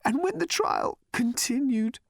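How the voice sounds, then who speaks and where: Frantic, high-pitched, half-mad journalist. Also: male voice, big pitch swings, fairly bright tone, no room sound, studio quality. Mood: weeping, whimpering. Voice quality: whimpering, hoarse whisper rising to strained high cries. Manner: halting, repetitive, overwrought.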